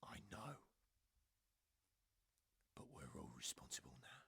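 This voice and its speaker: An actor playing a guilt-ridden, loyal man in an audio drama, speaking in a husky, hushed, earnest way. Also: rumbling low, sing-song, slow, dry recording, in a whisper, full character voice. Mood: secretive, urgent. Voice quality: husky, breathy.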